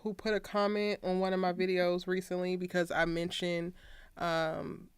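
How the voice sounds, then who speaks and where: A chatty woman hosts a fan discussion show and often speaks straight to her audience. Her voice sits a little low, and the recording sounds dry. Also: level tone, normal speed, smooth, dry recording. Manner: chatty, addresses viewers directly. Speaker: podcast host. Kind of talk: casual discussion.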